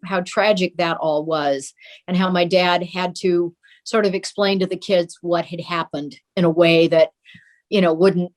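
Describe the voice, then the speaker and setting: An older woman with an American accent reminiscing as an interview guest, reflective, pausing and repeating words for emphasis. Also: lowish voice. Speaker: interview guest, daughter of a radio and TV performer.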